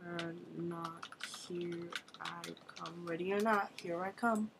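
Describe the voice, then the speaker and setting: Slow-talking woman narrating her gameplay. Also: on the low side, steady tone, vocal fry, background noise. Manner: chatty, thinking aloud, quick exclamations. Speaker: gamer recording her play. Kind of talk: casual gameplay commentary.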